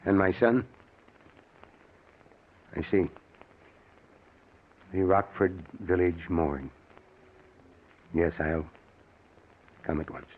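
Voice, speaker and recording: An actor playing a stern, rational middle-aged doctor and protective husband, with commanding, dramatic old-time radio delivery. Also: male voice, deep voice, steady tone, very slow, pauses often, phone-quality sound, slight background noise. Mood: shaken, grave. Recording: thin, band-limited old radio recording.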